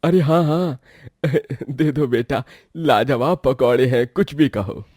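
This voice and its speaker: A man's voice: medium pitch, slow pace, a little mellow, dry recording, clean studio sound. Man as a homely old village father. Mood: pleased.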